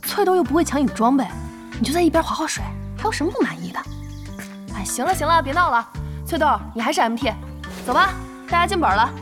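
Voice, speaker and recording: A woman playing a brisk young team leader in a Chinese audio drama, practical and placating with a bossy edge. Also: sits high, sing-song, over music. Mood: exasperated. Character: brisk young female team leader, peacemaking, mildly exasperated.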